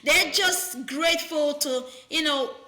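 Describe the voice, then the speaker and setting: Bright-voiced woman from Nigeria. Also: high-pitched, takes it slowly. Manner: animated, firm, storytelling with quoted patients. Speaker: nurse practitioner, guest. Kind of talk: conversational interview.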